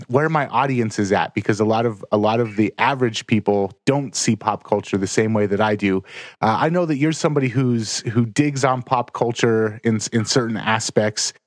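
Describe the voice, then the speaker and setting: A man's voice: mid-range voice, no echo. American guy hosting, chill questions w lots of uh's, dry close sound. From United States. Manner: conversational, hesitant fillers. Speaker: podcast host. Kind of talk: casual interview questions.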